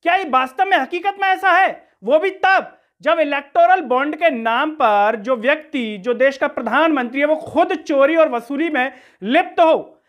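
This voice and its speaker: A man's voice: very high voice, moderate pace, hardly any pauses, fairly bright tone. A man presents political commentary in a rhetorical, questioning, accusatory way. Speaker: news commentary host. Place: small room indoors.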